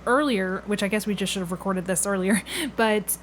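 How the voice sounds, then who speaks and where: A woman's voice: mid-range voice, normal speed, slight background noise. A woman hosting a casual interview, inquisitive and following up to clarify. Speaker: podcast host, interviewer.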